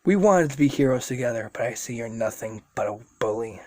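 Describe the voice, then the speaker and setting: An amateur narrator voicing an earnest, idealistic young male hero, pitched high and pleading in a spoken fan story. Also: expressive, fairly quick, a little mellow, no echo, close to an everyday voice. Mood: cold.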